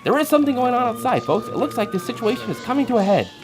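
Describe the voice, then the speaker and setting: A man acts out an excitable male newscaster from an old radio play. His voice sits high and swings widely in pitch. He is formal yet breathless and melodramatic. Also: rapid-fire, noisy background. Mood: excited, breathless. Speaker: radio news announcer. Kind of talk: 1940s radio acting.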